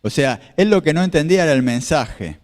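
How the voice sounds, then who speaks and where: A man's voice: on the high side, animated, fairly quick, darkish tone, long reverb tail. A man preaching a sermon as a pastor, explaining scripture and asking his listeners questions. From Argentina. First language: Spanish. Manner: explanatory, repeats phrases, asks the audience questions. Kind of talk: sermon with slides.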